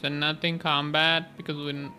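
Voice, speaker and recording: A man's voice: a little high, little pitch movement, moderate pace, sharp tone, soft background music. A man doing casual live game commentary as a streamer, musing informally and reacting to the story.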